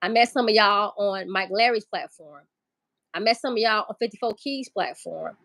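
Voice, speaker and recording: A woman's voice: medium pitch, moderate pace, a bit bright, no room sound. A woman hosting a casual livestream, talking loosely, swearing and greeting viewers in the chat. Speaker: livestream host.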